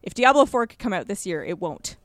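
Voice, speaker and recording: A woman's voice: mid-range voice, big pitch swings, brisk, no room sound. Woman, podcast guest, mid-range voice, casual chat, emphatic, effusive, dry close microphone. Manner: effusive, emphatic about favourites.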